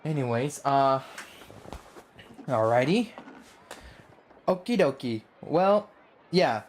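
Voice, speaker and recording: A man's voice: mid-range voice, lively intonation, slow pace, slight background noise. A man live streaming a game, commenting casually and slangily, often stumbling over and repeating his phrases.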